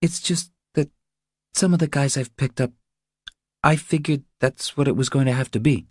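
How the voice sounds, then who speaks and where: A man voicing a small, cowed, desperate woman in an audiobook, pleading in an urgent, breathy way. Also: medium pitch, moderate pace, no room sound, clean studio sound, pitched above the performer's own voice, lightly acted. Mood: apologetic, anxious.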